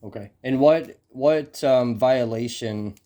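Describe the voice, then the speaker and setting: Male journalist, American, mid-pitched, pressing with challenging questions in hesitant, broken phrasing. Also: warm tone. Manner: halting, hesitant phrasing with repeated words; persistent, challenging. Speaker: independent journalist. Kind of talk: questioning an official in a phone interview.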